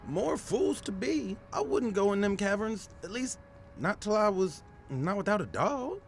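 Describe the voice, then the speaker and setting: A man's voice: high voice, sing-song, a little slow, creaky, full character voice. A man playing a folksy, wary small-town constable in a rural American drawl, in an old-time radio style. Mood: folksy, cautioning. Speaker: actor in a radio mystery. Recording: noisy old radio drama recording.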